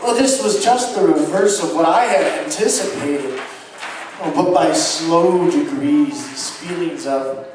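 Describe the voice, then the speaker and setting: A man playing a haunted, unhinged murderer confessing his crime in a halting, theatrical dramatic stage reading of gothic horror. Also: on the high side. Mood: uneasy, recollecting, then brooding dread. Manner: halting, theatrical, swinging from hushed to agitated. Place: live show at an amusement park, far from microphone. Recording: very echoey, distant live recording, faint noise.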